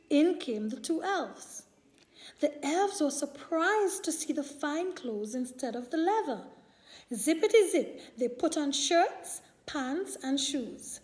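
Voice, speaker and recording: Female storyteller, high and soft-toned, taking her time over a picture book for little kids. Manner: deliberate, expressive delivery for young children. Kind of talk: children's read-aloud storytelling.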